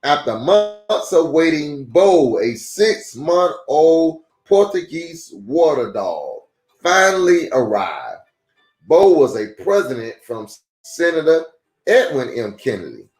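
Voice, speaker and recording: A man's voice: on the high side, sing-song, slow pace, a little mellow, faint music behind. Man reading a storybook aloud in echoey room. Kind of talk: read-aloud storytime with casual asides. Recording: echoey, roomy sound.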